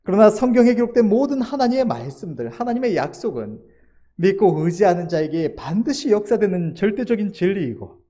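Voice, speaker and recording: A man's voice: high voice, sing-song, brisk, mellow. A man preaching a formal, scripted sermon in an earnest, emphatic manner, letting his sentence endings fall.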